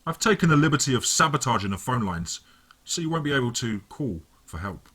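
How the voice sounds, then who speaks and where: A man voicing a sinister male villain who gloats calmly over the phone. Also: mid-range voice, slightly rough, exaggerated voice. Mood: calm menace. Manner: calm, mocking, drawn-out menace.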